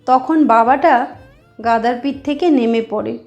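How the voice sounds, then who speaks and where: A woman's voice: fairly high pitch, a little slow, darkish tone, lo-fi sound, soft background music. A woman narrating a moral story as a channel host, explaining things directly to her listeners.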